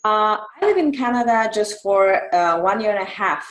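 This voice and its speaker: A woman's voice: mid-range voice, expressive. Polish-accented woman, hesitant, in echoey sound. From Poland. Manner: halting, hesitant. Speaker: club member giving an impromptu speech. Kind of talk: impromptu public speaking.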